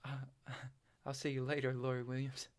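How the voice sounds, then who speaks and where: Anxious young country boy, played by a man, hesitant and hushed, distant from the mic, stage drama. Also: medium pitch, completely flat delivery, natural voice. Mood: nervous, shy. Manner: halting, quiet, stammering. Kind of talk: staged script reading.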